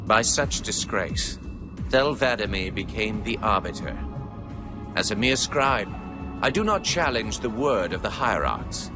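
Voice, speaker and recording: An actor voicing a grave, anxious alien male scribe in a solemn, formal narration for a video game cutscene. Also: mid-range voice. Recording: game soundtrack with music underneath.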